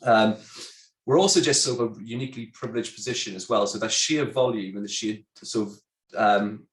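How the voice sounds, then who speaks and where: A congested man with a chatty, halting manner, a sales director presenting a webinar. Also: fairly low pitch. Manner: chatty, many ums and restarts. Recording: roomy echo.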